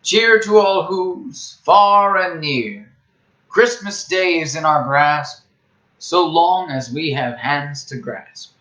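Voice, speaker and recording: Slow, bright-voiced man reading a storybook. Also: on the high side, low-quality recording. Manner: theatrical, emphatic, measured phrasing. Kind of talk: expressive children's storybook reading in rhyme.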